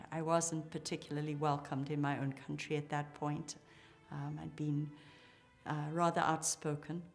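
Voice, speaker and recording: A middle-aged woman talks in a notably low voice. She sounds articulate and enthusiastic as a psychologist describing her work. Faint music runs behind her. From Zimbabwe. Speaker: psychologist, clinic co-founder. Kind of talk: interview account.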